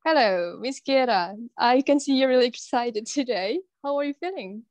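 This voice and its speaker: A woman's voice: high-pitched, animated, normal speed, dry recording. Cheerful host woman, dry sound. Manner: cheerful, chatty moderator. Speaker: workshop host. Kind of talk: hosting an online class.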